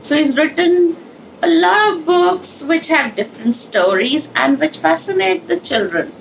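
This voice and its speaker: A woman leading a children's read-along, speaking in an instructional, deliberate, explanatory way with pauses. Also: sits high, lively intonation, vocal fry, a little hiss or hum, low-quality recording. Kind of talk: instructional talk and storybook read-aloud.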